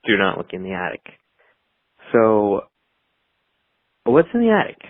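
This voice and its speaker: A man's voice: lowish voice, huge pitch swings, a little slow, a little hiss or hum. A man leaving a voicemail as a caller, casually telling a story over the telephone. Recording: tinny, narrow phone line, dry.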